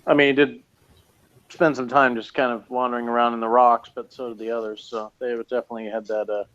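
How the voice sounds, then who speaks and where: A man's voice: medium pitch, little pitch movement, fast talker, muffled recording, noisy background. A tired man chatting casually, rambling with lots of fillers and restarts. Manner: rambling, hesitant, many fillers. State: tired, yawning. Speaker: podcast co-host reviewing a TV episode. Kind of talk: casual chat.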